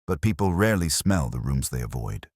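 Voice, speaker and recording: A man's voice: a bass voice, sing-song, fairly quick, a little mellow, dry recording, studio quality, exaggerated voice. A man voicing a guarded, evasive woman in a mystery audio story, with careful phrasing.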